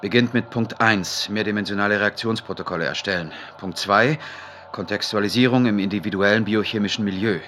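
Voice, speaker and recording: Deep, crisp-voiced pensive male researcher. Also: brisk, sharp tone. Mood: focused, businesslike. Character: pensive male medical researcher dictating work notes. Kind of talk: audio drama monologue. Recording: clear background noise.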